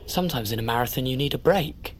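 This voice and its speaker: An actor playing a mild, eccentric uncle who muses philosophically in a gentle, halting way, in an audio drama. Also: male voice, medium pitch, lively intonation, slight background noise, lightly acted. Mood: gently curious. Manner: gentle, halting, deliberate musing.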